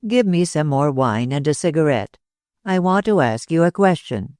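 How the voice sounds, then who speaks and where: A woman voicing a calm, curt young Englishman in a read-aloud story. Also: sits low, normal speed, no echo, clean studio sound. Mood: cool. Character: cool-headed young Englishman.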